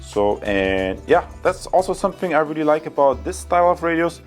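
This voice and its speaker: A man's voice: a little high, very animated, fairly quick, faint music behind. A man from Germany with a German accent, presenting a casual product review in an informal, chatty way. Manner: informal, chatty, addresses viewers as guys. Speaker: product reviewer and channel host.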